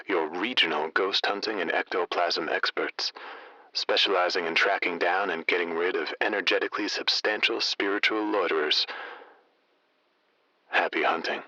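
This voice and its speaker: A theatrical male late-night radio host played by an actor in an audio drama, with a very low, sharply forceful voice. He spins conspiracy lore slowly and deliberately, with wry, florid wording. The sound is dry and slightly dulled. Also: dry recording, dull audio, heavily acted. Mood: wry showmanship.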